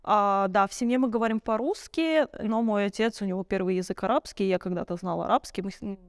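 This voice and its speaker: A woman's voice: medium pitch, fast, no echo, clean studio sound. A young woman telling personal stories in a candid, conversational way, reflective and searching for words as a podcast guest. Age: young adult.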